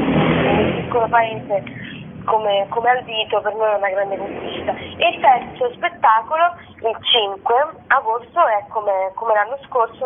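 A woman's voice: medium pitch, slightly rough. A woman from rural southern Lazio in Italy, giving a spontaneous interview as a festival director, somewhat hesitant, often repeating words. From Valle di Comino, southern Lazio, Italy. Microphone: telephone. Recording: noisy, tinny phone line.

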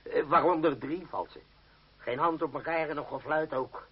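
An actor playing a vain, grumbling troupe leader who mutters and splutters theatrically in a radio play. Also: male voice, fairly high pitch, moderate pace, slightly rough. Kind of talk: radio drama acting. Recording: dull, muffled old radio play recording.

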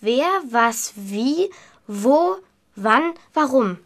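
A woman playing a bright, eager, stubborn seven-year-old medieval girl in a German radio play, earnest and precise. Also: fairly high pitch, animated, extremely slow, exaggerated voice.

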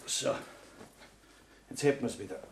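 An actor playing an evasive, scheming married lover with a Bavarian accent, cautious and matter-of-fact, in 1960s radio drama. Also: male voice, mid-range voice, lively intonation, a little slow, gravelly. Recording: noisy 1960s radio play recording.

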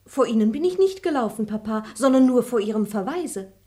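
A woman's voice: a little high, expressive, brisk, mellow, lightly acted. A woman playing a pert eager young daughter. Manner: coaxing, pert. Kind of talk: radio play acting.

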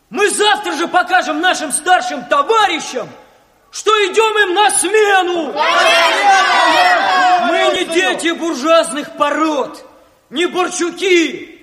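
An actor playing a fiery, naive young communist agitator shouting bombastic speeches in a radio play. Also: male voice, extremely high pitch, expressive, slow pace, full character voice. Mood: fervent, bombastic. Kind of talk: theatrical Soviet radio play oratory. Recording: echoing hall, loud crowd and noise.

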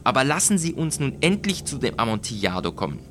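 Tipsy proud nobleman, played by a male narrator: raised pitch, exclaiming and dismissive, storybook drama over music. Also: sing-song, quick pace, a bit bright, a little hiss or hum. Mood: incredulous, excited. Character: tipsy, proud, excitable Italian nobleman with a cough.

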